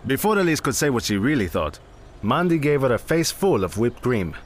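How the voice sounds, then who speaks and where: A man's voice: mid-range voice, sing-song, fairly quick, close to an everyday voice. An actor playing a blunt, exasperated male shop owner and wry storyteller in an audio drama. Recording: clear background noise.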